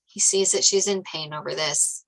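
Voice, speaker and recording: Bright, lower-pitched woman reading stage directions. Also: moderate pace, gravelly, poor audio. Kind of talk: scripted narration of screenplay directions.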